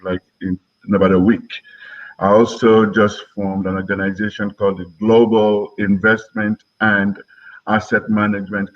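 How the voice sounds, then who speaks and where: A man in his sixties with a Nigerian accent, from Lagos, giving measured answers as an interview guest on a muffled recording. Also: lowish voice. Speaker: interview guest, politician and broadcaster.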